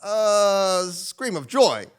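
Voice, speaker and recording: Guy acting as a kooky high voiced fortune teller sage, super animated, hammy stage comedy. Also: clear, resonant tone, exaggerated voice. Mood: breezy. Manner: melodramatic, with drawn-out pondering hums and sudden cries. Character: eccentric, theatrical self-styled male sage and fortune teller. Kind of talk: comic stage acting before a live audience. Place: theatre stage, live performance.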